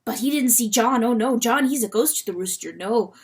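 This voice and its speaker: A woman's voice: a little high, lively intonation, fairly quick. A woman voicing an agitated, protective male weasel in a storybook, with broken folksy grammar and a habit of calling himself by name. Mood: confiding, anxious.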